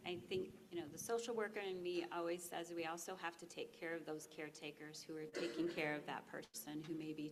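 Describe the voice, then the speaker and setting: A woman with a very low voice speaking on a panel as a social worker. She talks in a measured, deliberate way. Faint room noise is audible. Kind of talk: panel discussion.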